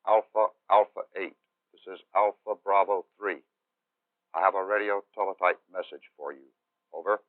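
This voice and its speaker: A man with a low voice speaks as a radio operator in clipped call-sign procedure. The sound is dry, and it is heard through a tinny, narrow old film soundtrack. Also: fairly even intonation, moderate pace, long pauses, dry recording.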